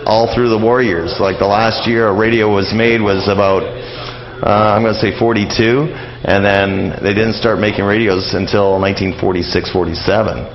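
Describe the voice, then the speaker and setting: A middle-aged man, explaining things as a guest in a casual radio interview, halting and rambling as he goes. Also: on the low side, fairly even intonation, highs cut off. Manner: halting, rambling explanations peppered with "you know" and "like".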